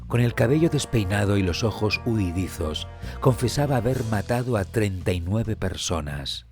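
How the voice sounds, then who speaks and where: A man. His scripted narration is solemn, measured and suspenseful, with light music beneath it. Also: lowish voice, darkish tone. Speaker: true crime podcast host and narrator.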